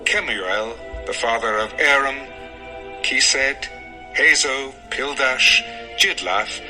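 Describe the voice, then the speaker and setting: A man narrating a dramatized scripture text in a solemn, measured, formal style. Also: medium pitch. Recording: music under the voice.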